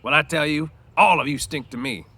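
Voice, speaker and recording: A man's voice: on the high side, fairly quick, sharp tone, breathy edge, voice pushed up. A man voicing a proud, aloof stable hand, bitter and defensive, with complaining rural speech in a storybook reading. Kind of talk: storybook read-aloud character voice.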